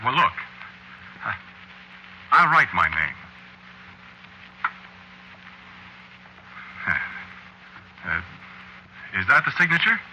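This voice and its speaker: An actor playing a big, meek, bewildered man facing doom in a vintage radio drama, halting and stammering haplessly. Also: medium pitch, sing-song, pushed and bright, exaggerated voice. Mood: bewildered, anxious. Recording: noisy vintage radio recording.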